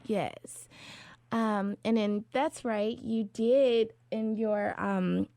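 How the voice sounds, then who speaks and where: A woman's voice: medium pitch, steady tone, drawn-out words, gravelly, dark tone, no echo. A woman from California hosting an interview podcast, asking friendly, enthusiastic questions with plenty of fillers. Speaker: podcast host.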